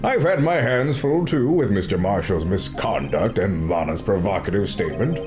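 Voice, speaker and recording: A man's voice: fairly low pitch, animated, normal speed, music in the background, lightly acted. A man voicing a grandiose older male police chief who drawls his lines theatrically. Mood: pompous.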